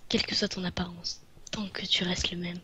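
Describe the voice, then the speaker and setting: A woman's voice: on the low side, level tone, fairly quick, bright, forceful tone. Woman as a tender hedgehog sweetheart, noisy recording. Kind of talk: amateur parody audio drama acting.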